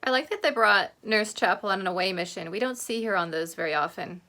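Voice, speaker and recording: A woman's voice: mid-range voice, expressive, fairly quick, sharp tone, faint music behind. A woman with an American accent giving casual commentary as host of a reaction video. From Oregon, United States.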